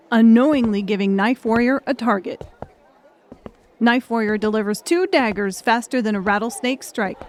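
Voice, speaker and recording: A woman's voice: mid-range voice, darkish tone, a little hiss or hum. A woman reading scripted audiobook narration.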